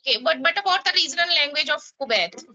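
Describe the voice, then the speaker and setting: Bright high-voiced Indian woman. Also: animated, quick pace, lo-fi sound. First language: Hindi. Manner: asks questions, hesitant with fillers. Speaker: language practice partner. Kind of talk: casual practice conversation. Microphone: app call.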